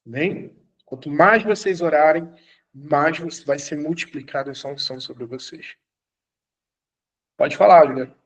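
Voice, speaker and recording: A man's voice: a little high, normal speed. A man, an apostle, teaching an online church class, exhorting students and repeating his commands, at times laughing as he tells stories.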